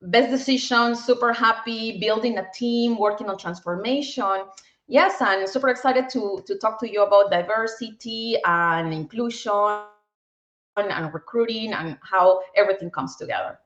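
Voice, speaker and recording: A woman's voice: mid-range voice, moderate pace, a bit bright, echoey room. A woman in her forties from Peru with a Peruvian Spanish accent, a guest speaking upbeat in a casual video interview and often repeating words as she goes. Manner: upbeat, repeats words while finding them. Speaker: guest, bank tech executive.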